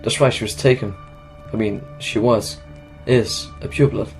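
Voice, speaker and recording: A man's voice: lowish voice, fairly even intonation, normal speed, creaky, a little mellow, faint music behind, rough recording. A man playing an upset, earnest teenage schoolboy in an audio drama. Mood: distraught, urgent.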